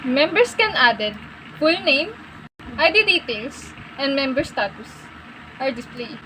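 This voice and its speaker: A woman's voice: high voice, expressive, sharp tone, slight background noise, poor audio. A young woman, giving a class project presentation and reading out lists item by item. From Philippines. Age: young adult. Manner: halting, reads out lists item by item. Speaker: student presenter.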